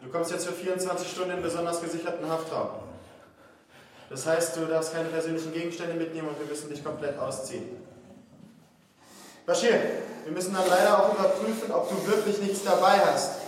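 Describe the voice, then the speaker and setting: A man's voice: on the high side, steady tone, brisk, long pauses, natural voice. An actor playing a tense, insistent male prison guard with an official manner in a naturalistic radio drama. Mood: official, controlled. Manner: insistent, procedural, escalating. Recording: distant, very echoey hall, faint noise.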